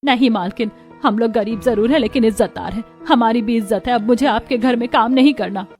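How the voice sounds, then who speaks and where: A woman's voice: on the high side, brisk, darkish tone, faint music behind, natural voice. A woman playing a poor, earnest mother who works as a housemaid, speaking respectfully and pleadingly in a moral story. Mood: indignant. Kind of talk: dramatized Hindi moral story acting.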